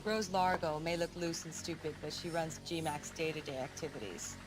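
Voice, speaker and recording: An actress playing a terse, serious police handler giving mission briefings in a video game, with loud music behind her. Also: low-pitched, moderate pace, lightly acted. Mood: cool, dry. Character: no-nonsense female police handler briefing an undercover driver.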